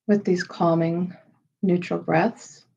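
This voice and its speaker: Woman meditation guide, lowish and mellow, unhurried phrasing, slightly muffled recording. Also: level tone, vocal fry, slightly rough. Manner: unhurried, measured phrasing with pauses. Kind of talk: slow, soothing guided meditation.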